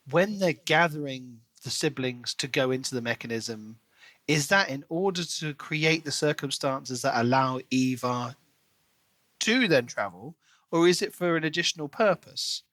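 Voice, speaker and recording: Bright-voiced man in casual podcast talk. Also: a little high, animated, a little slow, no room sound. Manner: speculative, questioning. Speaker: podcast co-host. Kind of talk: casual book discussion.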